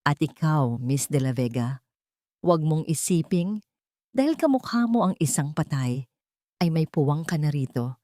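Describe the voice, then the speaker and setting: Woman acting sharp-tongued jealous villainess. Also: deep voice, animated, normal speed, long pauses, dry recording, studio quality. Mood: scornful. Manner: sharp, disdainful. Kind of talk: audiobook melodrama.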